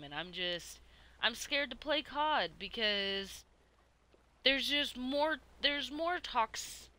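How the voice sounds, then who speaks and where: A woman's voice: medium pitch, sharp tone, smooth. A woman streaming a game and chatting casually, hesitant and rambling, with free swearing. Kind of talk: casual livestream chat while playing.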